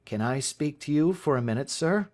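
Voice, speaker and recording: A man's voice: a little high, expressive, normal speed, a little mellow, voice pushed up, close to an everyday voice. A man reading aloud, voicing a nervous, polite teenage schoolboy who answers a teacher hesitantly. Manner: hesitant, polite, saying sir.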